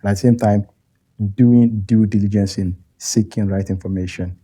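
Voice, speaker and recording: A Nigerian man whose first language is Yoruba, speaking as an interview guest in a thoughtful, halting way with frequent soft laughs. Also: on the low side, steady tone, breathy edge. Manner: thoughtful, halting, chuckles often. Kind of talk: interview conversation.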